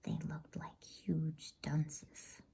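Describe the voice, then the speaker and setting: A woman reading a storybook as ASMR narration in a hushed, soothing, unhurried whisper close to the microphone. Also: low voice, flat delivery, gravelly. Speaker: bedtime story reader.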